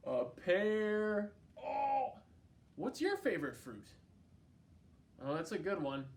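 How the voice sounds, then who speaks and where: A man's voice: high voice, slow. A man hosting a children's storytime, reading a picture book aloud in a playful, exaggerated way and speaking directly to his young listeners. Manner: playful, exaggerated, expressive delivery; addresses young listeners directly with questions and prompts. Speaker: children's storytime host at a library. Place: library.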